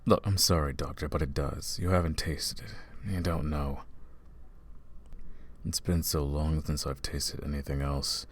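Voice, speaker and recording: A man voicing a brooding male vampire who hunts vampires, soft-spoken and calm in an ASMR roleplay. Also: extremely low pitch, measured pace, breathy edge, heavily acted. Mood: weary.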